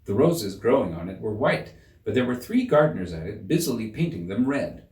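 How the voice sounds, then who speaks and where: A man giving a measured, theatrical reading of a children's story in an echoing room. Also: lowish voice, big pitch swings, a little mellow, far from the mic. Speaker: audiobook narrator. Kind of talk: expressive scripted storybook narration. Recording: roomy echo, slightly off the mic.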